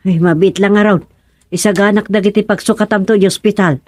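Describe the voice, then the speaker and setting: An actor playing a fussy, bossy companion in a Filipino radio comedy drama, speaking with a Filipino accent. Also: male voice, high-pitched, fairly even intonation, a little fast, darkish tone, close to an everyday voice. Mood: practical, fussing.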